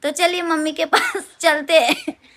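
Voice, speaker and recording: Hoarse, high-voiced woman vlogger. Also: a little slow, fairly bright tone. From Uttar Pradesh, India. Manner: chatty, addresses viewers as guys. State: hoarse, sore throat and coughing. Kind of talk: casual vlog to camera. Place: outdoors at a crowded temple and at home.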